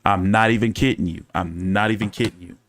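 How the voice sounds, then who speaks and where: A man's voice: fairly low pitch, a little fast, a bit bright, a little hiss or hum. A man hosting a boxing news channel in casual fan chat, talking loosely with cursing and repeating his phrases.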